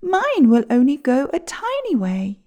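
Lady doing a high fussy little sparrow mum, storybook style. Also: huge pitch swings, a little slow, darkish tone, heavily acted. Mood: fretful. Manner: high, fretful and polite. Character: small chirpy female sparrow mother.

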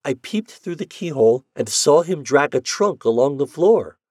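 A man's voice: on the high side, expressive, moderate pace, a little mellow, no echo, studio quality, voice pushed up. A man voicing an eager young errand boy who reports in an earnest, eager way.

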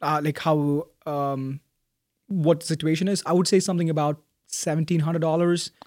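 A young man, a graduating student, answering interview questions in a thoughtful, soft-spoken way with frequent fillers and restarts. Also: a little high, flat delivery, normal speed, no room sound, clean studio sound. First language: Malayalam. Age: young adult.

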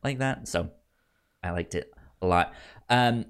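Male podcast co-host, low-pitched, unhurried and halting, stutters on sounds and words, casual movie-review chat. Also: clear, resonant tone. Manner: halting, hesitant delivery.

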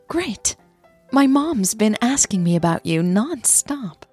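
A woman voicing a playful younger girlfriend in a romance audio drama, speaking softly with a teasing, reassuring manner. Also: a little high, big pitch swings, slow, slightly rough, soft background music, pitched above the performer's own voice, heavily acted. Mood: pleased, eager. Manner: soft, teasing, affectionate.

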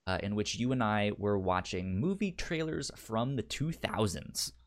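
A man co-hosting a podcast in casual chat, speaking haltingly with many stammered restarts. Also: on the low side, animated. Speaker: podcast co-host.

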